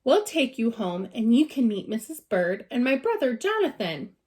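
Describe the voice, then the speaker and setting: A brisk, warm-hearted middle-aged mother from a children's story voiced by a woman. She is decisive and exclaims readily, her fairly high voice leaping about in pitch. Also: sing-song. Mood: kindly.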